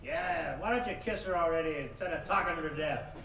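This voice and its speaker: A man's voice: a little high, brisk, lightly acted. A man playing a grumpy, meddling older neighbor who heckles in a 1940s radio play style. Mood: grouchy. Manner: grouchy, heckling. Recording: distant, echoey, noisy live hall with crowd.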